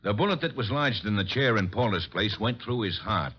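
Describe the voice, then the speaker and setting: Low-voiced homicide inspector. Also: male voice, animated, brisk, husky. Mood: businesslike. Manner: plain, businesslike reporting. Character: matter-of-fact male homicide police inspector. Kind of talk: old-time radio detective drama acting. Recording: dull lo-fi old radio recording.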